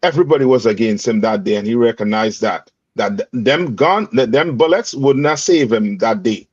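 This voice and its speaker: A man's voice: mid-range voice, lively intonation, moderate pace, darkish tone, breathy edge. A man leading a scripture reading, breaking in with emphatic commentary and rhetorical questions. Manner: emphatic, rhetorical questions, repeats "read on" and "you understand". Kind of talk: call-and-response scripture teaching. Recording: dull lo-fi dry sound.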